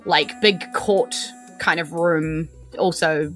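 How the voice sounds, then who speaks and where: A woman's voice: deep voice, fairly even intonation, slow, a bit bright. A woman with a New Zealand accent, improvising casual, descriptive narration as game master in a tabletop game, feeling her way through the scene. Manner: informal, hesitant, descriptive. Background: background music.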